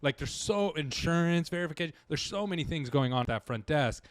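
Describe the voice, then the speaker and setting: A man's voice: fairly high pitch, expressive, brisk, clear, resonant tone, no room sound. A man, a dental marketing guest in a casual interview, talking earnestly with many restarts. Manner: earnest, rambling, full of restarts.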